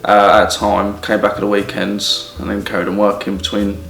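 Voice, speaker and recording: Man, twenties, English accent, low, monotone, easygoing, distant mic, echoey, music. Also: moderate pace, gravelly. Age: 20s. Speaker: groundworks apprentice. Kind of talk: casual interview answers.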